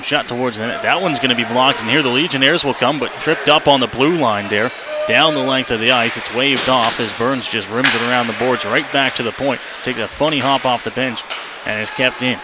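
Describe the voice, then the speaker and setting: A man doing live radio play-by-play of an ice hockey game in clipped, running phrases, as the announcer. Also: mid-range voice. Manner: clipped running phrases, rising to excited calls on shots. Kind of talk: live radio sports commentary. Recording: noisy live arena radio feed.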